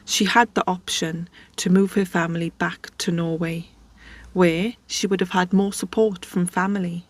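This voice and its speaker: A woman's voice: fairly low pitch, a little mellow, no room sound. A woman hosting, telling a life story in a casual, chatty way with conversational asides. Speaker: host.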